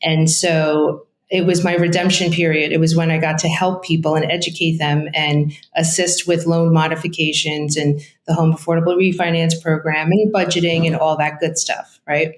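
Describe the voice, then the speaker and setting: Middle-aged woman, brooklyn accent, italian is her first language, kinda low voice, rambly and chatty, roomy echo. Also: little pitch movement, normal speed, few pauses. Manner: chatty storyteller, fills talk with "you know" and "right?". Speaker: real estate agent guest. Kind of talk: casual interview chat.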